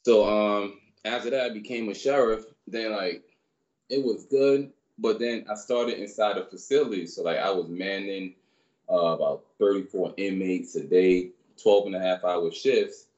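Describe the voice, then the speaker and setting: A man in his thirties from Barbados, raised in New York, telling his story as a guest in a relaxed, rambling way on a video call. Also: fairly low pitch, moderate pace, dull audio. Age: 30s. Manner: relaxed, rambling, many fillers. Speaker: guest, personal trainer. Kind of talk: casual storytelling interview. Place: small room indoors. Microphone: video call webcam.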